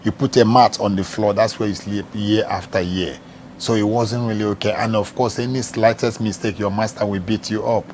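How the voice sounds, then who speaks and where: A middle-aged man with a Nigerian accent recounts his past in a steady, earnest way. His voice sits low, and a faint hiss runs under it. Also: normal speed. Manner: earnest, steady storytelling in plain words. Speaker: evangelist and charity founder, interview guest. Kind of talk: telling his life story in an interview.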